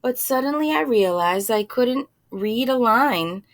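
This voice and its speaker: Woman, picture-book reader, highish voice, very varied intonation, expressive, drawn-out phrases. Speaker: storybook reader. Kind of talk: expressive picture-book read-aloud with casual asides.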